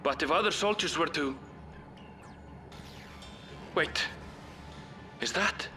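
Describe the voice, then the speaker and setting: A man's voice: a little high, takes it slowly, sharp tone, heavily acted. An actor playing an earnest young man reporting danger to allies in a video game cutscene. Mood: urgent, worried.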